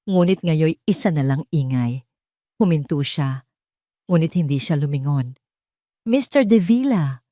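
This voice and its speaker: Female audio drama narrator, low and mellow, recorded dry, telling a scripted story. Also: dry recording.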